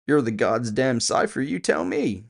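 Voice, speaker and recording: A man's voice: mid-range voice, expressive, a little slow, gravelly, pitched above the performer's own voice. A man voicing a nervous, defensive male thief on the run, slangy and agitated, in an audio drama. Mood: exasperated.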